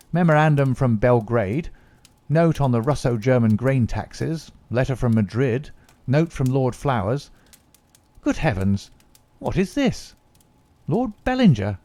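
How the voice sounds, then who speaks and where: A man voicing an excitable young male government secretary who talks in an agitated, exclamatory way. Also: mid-range voice, dry recording, pitched above the performer's own voice.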